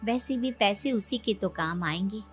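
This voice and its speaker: A woman's voice: medium pitch, lively intonation, unhurried, soft background music, close to an everyday voice. A woman playing an anxious, accommodating middle-aged mother of a bride, placating in manner, in a cartoon story.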